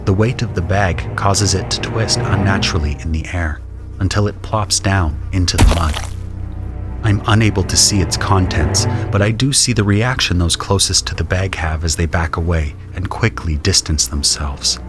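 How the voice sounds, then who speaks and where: A man playing a grim, observant conscript soldier who narrates an audio drama in a measured, solemn way. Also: deep voice, over music, natural voice. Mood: grim, watchful. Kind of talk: first-person scripted audio drama narration.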